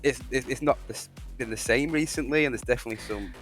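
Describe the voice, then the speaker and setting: A man's voice: mid-range voice, quick pace, faint music behind. A man hosting a casual podcast discussion, speaking earnestly and repeating phrases for emphasis. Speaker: podcast host.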